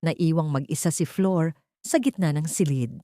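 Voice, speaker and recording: Female audiobook-style narrator, lowish pitch, dramatic scripted delivery, dry recording. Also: normal speed, dark tone, dry recording.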